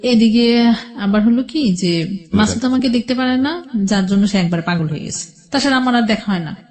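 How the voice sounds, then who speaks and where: A woman's voice: on the high side, normal speed, a little mellow. A middle-aged woman with a Bangladeshi accent recounts her own life as a radio interview guest, in a rambling, colloquial way. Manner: rambling, colloquial, quotes past conversations. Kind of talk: personal storytelling in a radio interview.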